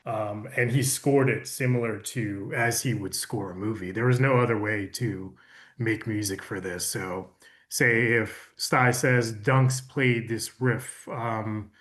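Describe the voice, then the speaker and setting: A man's voice: fairly low pitch, fairly even intonation, slow pace, a bit bright. A man, an audiobook producer, casually explaining his work in an interview. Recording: echoey, roomy sound.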